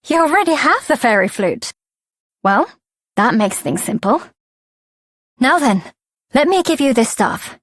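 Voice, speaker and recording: A woman's voice: a little high, dry recording, clean studio sound. A woman voicing a kindly, chatty faerie guide in a video game, lightly teasing as she talks. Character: breezy, kindly female faerie guide fond of teasing humans. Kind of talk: scripted video game character dialogue.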